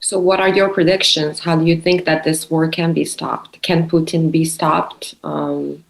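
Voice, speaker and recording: A woman's voice: low-pitched, little pitch movement, measured pace, vocal fry. A woman from Bosnia and Herzegovina co-hosting a podcast, asking direct, probing questions. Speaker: podcast co-host. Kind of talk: interviewing. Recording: roomy echo.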